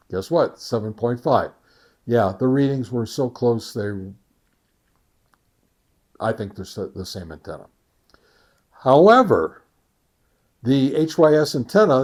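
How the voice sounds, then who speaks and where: A man presents test results as a reviewer in a casual, conversational style. He speaks very slowly at a middling pitch, often halting to think aloud. Folksy asides break up his delivery. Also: a little mellow. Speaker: amateur radio gear reviewer and host. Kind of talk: casual product test summary.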